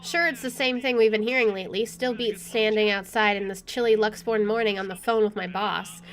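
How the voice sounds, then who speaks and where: A woman's voice: mid-range voice, fairly even intonation, fairly quick, faint music behind. A woman hosting a gaming video, expressively reading story text aloud and adding casual asides.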